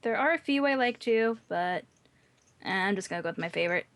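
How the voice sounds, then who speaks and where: A woman's voice: medium pitch, expressive, brisk, a bit bright. A woman on a podcast panel chatting informally, thinking aloud as she goes. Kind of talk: casual chat.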